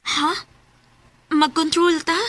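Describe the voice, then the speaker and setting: A woman's voice: very high voice, flat delivery, slow, fairly bright tone, faint music behind, exaggerated voice. A woman with a Cebuano Filipino accent playing a forthright young single mother in her early twenties in a radio drama. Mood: insistent. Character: forthright 21-year-old single mother eager for love.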